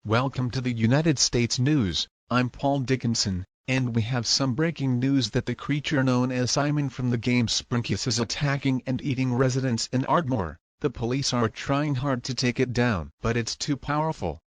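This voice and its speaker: A man's voice: mid-range voice, normal speed, few pauses, no echo, lightly acted. A man playing a television anchor reading a scripted breaking-news bulletin. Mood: formal.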